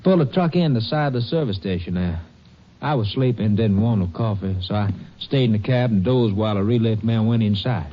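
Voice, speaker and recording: A man's voice: fairly low pitch, brisk, lightly acted. An actor playing a long-haul truck driver who gives his account in a folksy, rambling drawl. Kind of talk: 1950s radio drama acting. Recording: muffled vintage radio broadcast.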